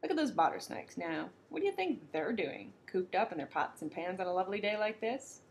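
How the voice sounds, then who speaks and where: A woman voicing a loud, cheerful, bossy bird who lectures and laughs heartily. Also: very animated, brisk, a little hiss or hum. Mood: hearty. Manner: hearty, know-it-all, booming.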